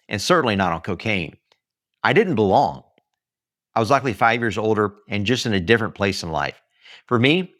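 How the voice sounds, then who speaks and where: American male author-narrator, low voice, dry close sound, emphatic memoir read with weighted pauses. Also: a bit bright, no echo. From Texas, United States. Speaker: author reading own book. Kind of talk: scripted audiobook-style narration of a memoir.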